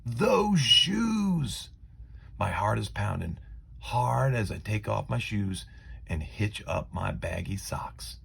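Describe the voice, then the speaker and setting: Casting: male children's story narrator, low gravelly voice, dramatic and deliberate phrasing. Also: very animated, a bit bright. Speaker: storybook reader.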